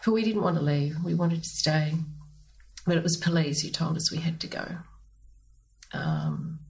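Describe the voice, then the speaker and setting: A woman's voice: sits low, wildly swooping pitch, normal speed, creaky, a little mellow, low-quality recording. An Australian woman recalling a personal experience in an interview, emphatic and repeating her phrases. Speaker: interview guest, foster mother.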